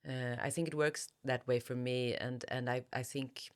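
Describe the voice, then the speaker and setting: Woman, extremely low voice, reflective author interview, halting, word-searching repetitions. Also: steady tone, clean tone.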